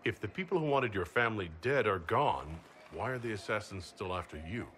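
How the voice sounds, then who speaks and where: Game casting: calm heroic commander, low-pitched, measured and protective, plain-spoken, slight ambience behind. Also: male voice, slight background noise. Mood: concerned, questioning. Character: calm, steady, protective heroic male leader. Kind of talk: video game cutscene acting.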